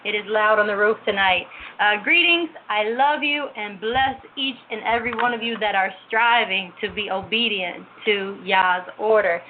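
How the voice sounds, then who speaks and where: A woman's voice: mid-range voice, normal speed, hardly any pauses. A woman hosts a devotional program in a reverent way, offering formal blessings. Her voice comes through a narrow phone-like line, with faint noise around it. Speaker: religious show host. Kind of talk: devotional talk show greeting and testimony. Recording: muffled phone-like line, noisy ambience.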